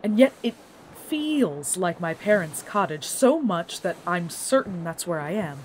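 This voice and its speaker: A woman's voice: lowish voice, big pitch swings, measured pace, low-quality recording. Patient, thoughtful woman over ambient noise. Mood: attentive, gentle. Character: patient, thoughtful woman gently questioning a friend. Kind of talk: naturalistic audio drama acting.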